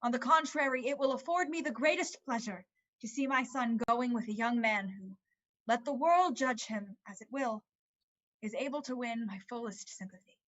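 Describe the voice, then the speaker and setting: A woman playing an earnest mother in a dramatic stage-play reading, speaking in a formal, measured, consoling manner. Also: fairly high pitch, a bit bright, lo-fi sound, lightly acted. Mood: reassuring.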